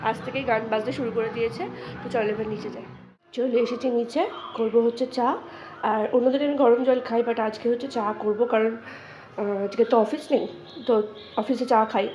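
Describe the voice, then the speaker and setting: A woman's voice: on the high side, muffled recording, noisy background. A young woman with a West Bengal Bengali accent chats casually and directly to her viewers as a daily vlogger. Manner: conversational, addresses viewers directly. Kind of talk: casual vlog chat to viewers.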